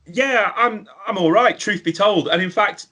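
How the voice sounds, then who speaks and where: A man hosting an online show from home, talking casually with many filler sounds and pauses. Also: sits high, bright, forceful tone. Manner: hesitant, lots of ums. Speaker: podcast host. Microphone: video call.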